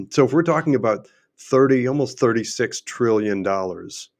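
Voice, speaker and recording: A middle-aged man hosts a livestream and talks emphatically, asking question after question, and his voice is going hoarse. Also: mid-range voice, lively intonation, moderate pace, a little mellow. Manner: emphatic, repetitive, rhetorical questions. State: losing his voice. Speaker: host and finance adviser. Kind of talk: unscripted livestream monologue.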